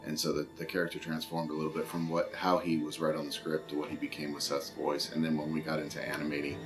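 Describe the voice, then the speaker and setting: A man from a film's effects team giving relaxed, thoughtful interview answers. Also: extremely low pitch, fast talker, over music.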